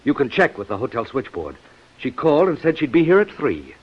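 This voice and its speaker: An American actor playing a defensive, earnestly protesting lover suspected of murder in a 1950s radio detective drama. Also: male voice, mid-range voice, wildly swooping pitch, fairly quick, husky. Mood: indignant protest. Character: defensive male lover under suspicion of murder. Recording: dull vintage radio recording.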